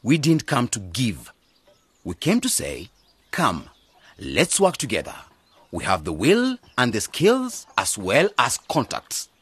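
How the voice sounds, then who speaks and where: An earnest young man in a radio drama, an idealist back in his village. His voice is slightly husky and moves up and down a lot. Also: mid-range voice, wildly swooping pitch, takes it slowly, a bit bright, no room sound, heavily acted. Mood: earnest persuasion. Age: young adult.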